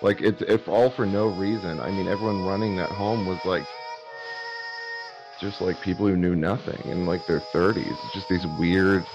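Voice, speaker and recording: A man speaking haltingly with many pauses in casual conversation. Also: low voice, fairly even intonation. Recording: muffled recording with clear music behind.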